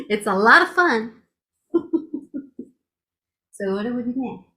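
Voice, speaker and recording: A woman's voice: fairly high pitch, lively intonation, takes it slowly, sharp tone. A woman with a strong voice giving a chatty, gushing testimonial, laughing often as she talks. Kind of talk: casual testimonial chat.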